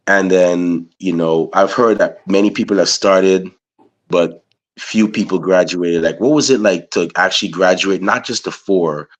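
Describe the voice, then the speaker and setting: A man from Guyana with a very low voice hosts a casual interview. He rambles his way into each question, padding it with "you know" and "man". He sounds easygoing and chatty. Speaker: interviewer, podcast host.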